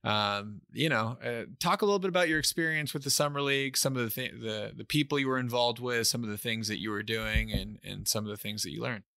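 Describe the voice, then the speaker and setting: A man's voice: medium pitch, brisk, creaky, hardly any pauses, bright, forceful tone, dry recording, studio quality. A man hosting a podcast interview, asking questions in a friendly, affirming manner. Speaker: podcast host. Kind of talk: casual interview conversation.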